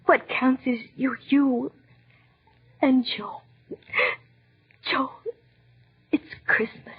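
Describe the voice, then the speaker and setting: An actress playing an anguished, forgiving young wife and mother in 1940s radio drama, husky and breathy. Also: on the high side, full character voice. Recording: muffled 1940s radio recording.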